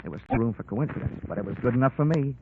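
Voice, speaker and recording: An American actor playing a wry, hardboiled insurance investigator in a 1940s radio drama, with punchy, wisecracking delivery. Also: male voice, mid-range voice, expressive, rapid-fire, very noisy, natural voice. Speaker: lead actor and narrator. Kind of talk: 1940s radio detective acting. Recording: old muffled radio recording with music.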